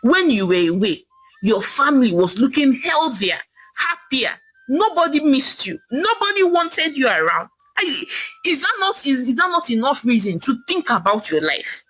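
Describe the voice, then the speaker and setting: A woman's voice: high-pitched, sing-song, normal speed, a bit bright, husky, voice pushed up, exaggerated voice, rough recording. A woman voicing a defiant middle-aged Nigerian wife in a folktale, scolding and taunting with emphatic delivery and a Nigerian accent. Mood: indignant scolding.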